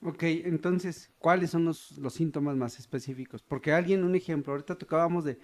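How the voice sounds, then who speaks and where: A man hosting a podcast who interviews his guest with brief prompting questions and quick acknowledgements. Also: on the high side, darkish tone. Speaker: podcast host.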